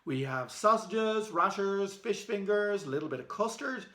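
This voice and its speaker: A man's voice: high voice, animated, normal speed, pushed and bright, voice pushed up. An Irish man voicing an eager, kindly young schoolboy in a children's storybook. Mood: eager, encouraging. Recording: echoey room.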